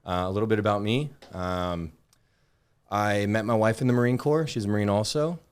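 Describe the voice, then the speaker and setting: Low-voiced American man chatting casually. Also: normal speed, clear, resonant tone. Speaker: podcast guest, Marine veteran. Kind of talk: casual interview chat.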